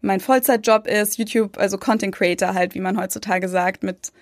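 A woman's voice: medium pitch, fairly even intonation, normal speed, dry recording. Young german woman rambling along in an interview, lots of ums, dead dry sound. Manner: chatty, many fillers, mimics others in quotes. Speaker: guest, content creator. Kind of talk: casual interview chat.

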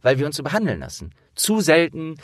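A man's voice: on the high side, wildly swooping pitch, fairly quick, dry recording, clean studio sound. A man in casual, opinionated conversation, speaking earnestly and emphatically in colloquial language with some swearing. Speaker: interviewer and audio drama producer with acting training.

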